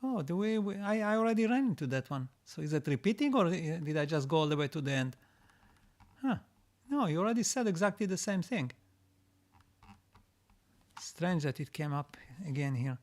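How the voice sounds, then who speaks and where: Higher-voiced man, halting and repetitive. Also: very animated. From Israel. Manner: halting, frequent restarts and repeated words; reads out comments, then answers. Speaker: host answering viewer comments. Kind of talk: live-streamed casual Q&A.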